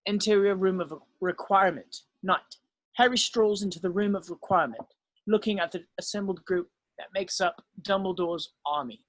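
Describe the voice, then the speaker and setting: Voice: medium pitch, moderate pace, no room sound. A narrator reading screenplay stage directions as scripted narration, now and then stumbling over the text.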